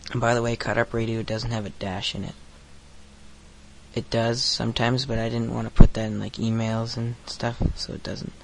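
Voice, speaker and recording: A man's voice: medium pitch, little pitch movement, moderate pace, gravelly. A thirteen-year-old boy hosting his own podcast, rambling crudely with lots of fillers and swearing. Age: teens. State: recovering from hoarseness. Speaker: podcast host. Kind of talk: casual solo podcast rant.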